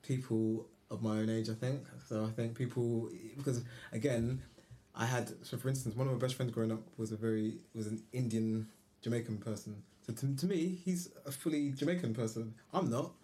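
A man's voice: mid-range voice, steady tone, a little fast, mellow. A man talking as a guest on a casual podcast, thoughtful and often restarting his sentences. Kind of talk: casual conversation.

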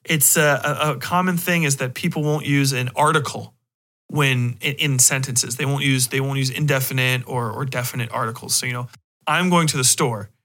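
A man's voice: mid-range voice, steady tone, normal speed, sharp tone. A man hosting a podcast, giving his opinions in a casual, rambling, emphatic way with plenty of swearing. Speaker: podcast host.

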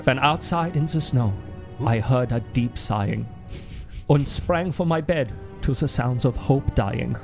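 A man playing a solemn, gloomy German cultural ambassador with a German accent, giving a dramatic comic reading and pedantic deadpan explanations. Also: medium pitch, measured pace, gravelly. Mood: ominous. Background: music behind the voice.

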